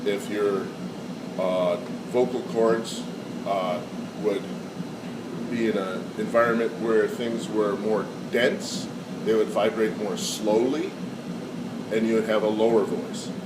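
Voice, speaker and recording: A man's voice: lowish voice, fairly even intonation, heavy background noise. Man with a cold, echoey and distant. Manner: explanatory, halting with fillers. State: has a cold. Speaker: science demonstrator. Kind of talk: casual classroom demonstration. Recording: echoey room, far from mic, loud air conditioning.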